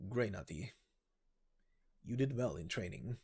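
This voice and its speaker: A man voicing a gentle, proud middle-aged father in a game story reading, speaking softly, slowly and encouragingly. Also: lowish voice, animated, creaky, husky, pitched below the performer's own voice, full character voice. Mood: proud, earnest.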